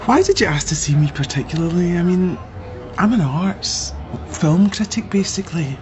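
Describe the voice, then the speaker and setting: An actress in a radio drama playing a busy Scottish journalist, brisk and a little harried. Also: female voice, lowish voice, expressive, darkish tone, natural voice. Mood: curious, measured. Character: busy, flustered female film critic and daughter. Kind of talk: naturalistic radio drama acting. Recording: muffled, noisy ambience behind.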